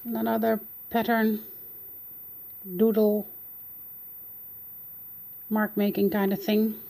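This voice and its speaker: A woman's voice: medium pitch, vocal fry, a little mellow. A woman with a Dutch accent, a native Dutch speaker, casually narrating a flip-through of her art journal and searching for words as she goes.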